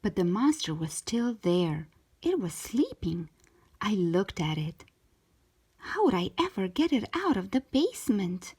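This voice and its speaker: A woman's voice: medium pitch, big pitch swings, a little slow, a little mellow, breathy edge. A woman narrating a children's book aloud as a storytime reader.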